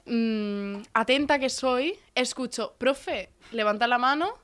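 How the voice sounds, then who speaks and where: A woman's voice: fairly high pitch, slow pace, fairly bright tone. A young woman from Andalusia co-hosting a podcast, chatting casually with dry, wry, brief replies. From Andalusia, Spain. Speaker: podcast co-host. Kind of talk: casual banter.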